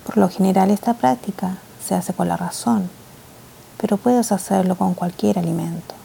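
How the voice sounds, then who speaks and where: A woman meditation guide speaks in a very dark, soft tone with a creaky edge. She delivers scripted instructions slowly, in short phrases with gentle pauses. Noticeable ambient noise sits behind her voice. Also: medium pitch, steady tone, rough recording.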